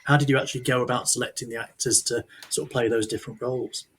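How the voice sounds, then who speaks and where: A man's voice: mid-range voice, fairly even intonation, fast, a bit bright, breathy edge. A man hosting a casual interview, asking conversational questions. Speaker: host, interviewer.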